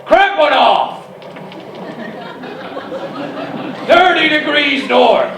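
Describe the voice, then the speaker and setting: A man playing a blustering old-time steamship captain in a theatrical, drawn-out radio comedy parody. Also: very high voice, expressive, creaky, full character voice. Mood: commanding. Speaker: actor in live radio comedy. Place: arts centre stage before live audience. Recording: distant, echoey live theatre stage, noisy.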